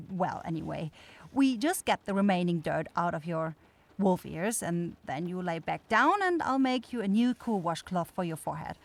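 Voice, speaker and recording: A woman's voice: on the low side, expressive, moderate pace, creaky. A woman playing a kind young forest witch in an ASMR fantasy roleplay, shy and caring, easily flustered into stammering. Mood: caring, tender.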